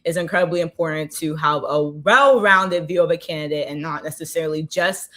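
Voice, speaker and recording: A woman's voice: low-pitched, fairly quick, pushed and bright. A young woman hosting a podcast, with an upbeat, emphatic delivery. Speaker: podcast host. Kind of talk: scripted podcast intro and hosting.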